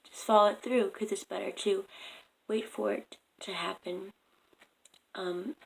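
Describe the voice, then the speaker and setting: A young woman vlogging at home on her phone camera, speaking softly in a raspy, half-whispered morning voice. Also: mid-range voice, steady tone, unhurried, slight background noise, low-quality recording. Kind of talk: casual advice vlog.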